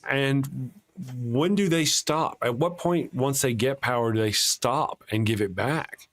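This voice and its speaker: A man's voice: medium pitch, measured pace, a bit bright. A man with a Texas accent hosting a casual live-stream chat show, joking around and reading out viewer messages. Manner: laid-back, jokey, reads out super chats. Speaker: host.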